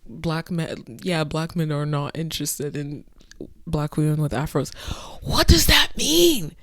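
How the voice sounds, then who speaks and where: A woman talking to her camera late at night, incredulous and exasperated, swinging between soft muttering and sudden loud outbursts. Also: low voice, animated, measured pace, no room sound, clean studio sound. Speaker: vlogger. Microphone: phone or camera close up.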